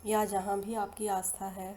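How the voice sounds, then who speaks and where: An Indian woman leading a guided hypnosis meditation in slow, soothing, unhurried phrases with long pauses between them. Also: medium pitch, little pitch movement. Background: soft meditation music.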